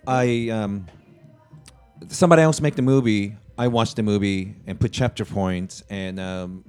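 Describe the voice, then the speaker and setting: A Japan-born man with a lowish voice talks in a soft, hesitant way. He chats casually as a podcast guest and keeps stopping for fillers. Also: smooth. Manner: soft-spoken, halting, with many fillers. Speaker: guest comedian.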